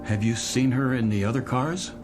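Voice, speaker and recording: A man's voice: medium pitch, moderate pace, over music, lightly acted. An American actor playing a weary, distracted middle-aged commuter in a radio drama, polite and hesitant as he speaks. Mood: uneasy, pleading. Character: weary, distracted married male commuter, wistful and evasive.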